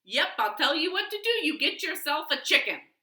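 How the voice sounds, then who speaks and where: Woman as a confident, emphatic village wise man, bright-voiced. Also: sits high, very animated, normal speed, pitched above the performer's own voice, heavily acted. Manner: emphatic, repeats yes and yep. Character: eager, confident village wise man giving odd advice. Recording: echoey living room.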